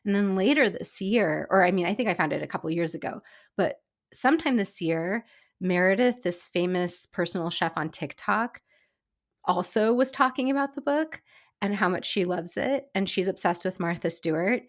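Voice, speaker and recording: A woman's voice: medium pitch, lively intonation. A middle-aged woman, a former personal chef, co-hosting a casual cooking podcast, chatty and enthusiastic, slightly stuffy from a cold. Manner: chatty, enthusiastic, quick asides. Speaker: podcast co-host. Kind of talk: casual chat.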